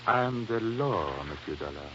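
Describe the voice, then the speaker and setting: An actor playing a formal, condescending police inspector in an old radio drama. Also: male voice, on the low side, lively intonation, moderate pace, close to an everyday voice. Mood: veiled warning. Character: suave, authoritative Haitian police inspector, veiled menace. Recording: muffled, faintly noisy old radio recording.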